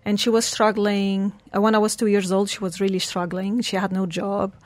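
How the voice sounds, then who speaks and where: A woman's voice: mid-range voice, little pitch movement, normal speed, dry recording. A woman, telling her life story earnestly as an interview guest. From Portugal. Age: 40s. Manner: earnest, storytelling, slightly broken English phrasing.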